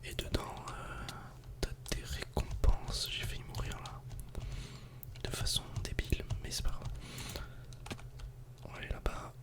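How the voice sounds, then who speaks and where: A man whispers casual ASMR game commentary in a breathy, unvoiced voice, speaking directly to the viewer. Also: a little slow, long pauses. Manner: casual, soft-spoken, addressing the viewer directly. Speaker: ASMR gaming video creator.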